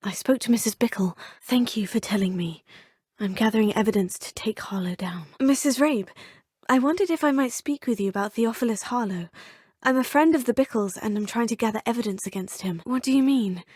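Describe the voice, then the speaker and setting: A woman's voice: on the high side, fairly quick, a little mellow, breathy edge, no room sound, clean studio sound. An actress voicing a polite, determined young female student witch in a video game, speaking composed formal English. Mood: earnest. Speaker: player character voice. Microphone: studio microphone.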